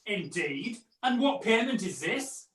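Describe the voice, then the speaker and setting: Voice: medium pitch, sing-song, a little slow, a bit bright. A performer playing a menacing, domineering washerwoman in a theatrical pantomime. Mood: suspicious. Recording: echoey, distant video-call audio.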